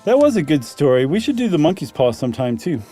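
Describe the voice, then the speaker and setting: A man with a slightly high, mellow voice co-hosts a story podcast. He narrates and chats casually, with faint music underneath. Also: very animated, quick pace. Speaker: podcast host.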